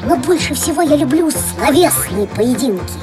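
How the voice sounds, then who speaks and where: A woman voicing a strict, proud elderly schoolteacher in a children's cartoon, brisk and emphatic. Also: sits high, animated. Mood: explaining keenly. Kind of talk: animated children's cartoon acting. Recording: music under the voice.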